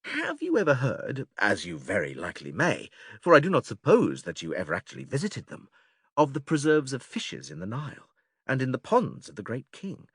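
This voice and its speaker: A man playing a patient, methodical philosopher who leads an argument in a dramatised classical dialogue, measured, formal and questioning. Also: medium pitch, wildly swooping pitch, fairly bright tone, husky, no echo, clean studio sound, exaggerated voice. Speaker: audiobook actor reading philosophical dialogue.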